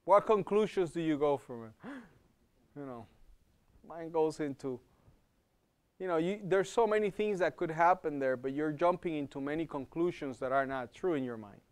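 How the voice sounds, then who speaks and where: Male lecturer with an accent, slightly high and smooth, teaching a live class in a casual, searching way. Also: moderate pace. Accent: non-native English. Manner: conversational, checks in with "okay?" and repeats words while thinking. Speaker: health program lecturer.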